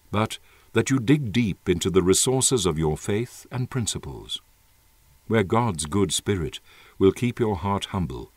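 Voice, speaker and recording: A man giving an earnest, measured and emphatic dramatic reading of a written letter. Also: low voice, no room sound. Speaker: narrator reading an open letter.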